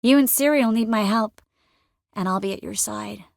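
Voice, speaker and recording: An actress playing an earnest, caring young sorceress in a video game, expressive and by turns determined and wistful. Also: female voice, medium pitch, normal speed, gravelly, no echo, studio quality, close to an everyday voice. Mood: calm, conversational. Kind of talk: video game character dialogue.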